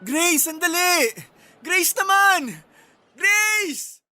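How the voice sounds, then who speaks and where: Pleading, high-voiced young boyfriend. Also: male voice, sing-song, very slow, over loud music, exaggerated voice. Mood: desperate, pleading. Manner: earnest, imploring, emotional. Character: pleading, insecure young boyfriend being dumped. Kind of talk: radio drama acting.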